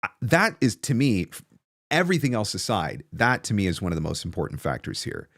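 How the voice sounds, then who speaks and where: Lively, low-voiced man giving casual livestream advice. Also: brisk, no room sound, studio quality. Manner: conversational, emphatic, repeats phrases for stress. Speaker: host and voice-over coach. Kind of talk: casual livestream advice, answering viewer questions. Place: small home studio, indoors. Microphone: close desk microphone on a stand.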